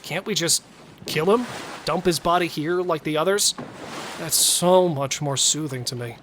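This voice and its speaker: Man playing a higher-voiced, intense male killer. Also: unhurried, a bit bright, a little hiss or hum. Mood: uneasy, blunt. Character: cautious male serial killer, wary yet bloodthirsty lover. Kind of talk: audio thriller acting.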